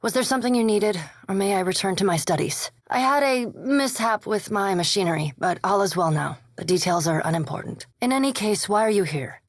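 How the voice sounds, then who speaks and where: A woman's voice: medium pitch, no echo, lightly acted. Woman acting a reserved scholarly vampire, very dry recording. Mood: polite, guarded. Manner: measured, formal, precise, reserved. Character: coolly polite, scholarly vampire animancer woman. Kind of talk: video game character dialogue.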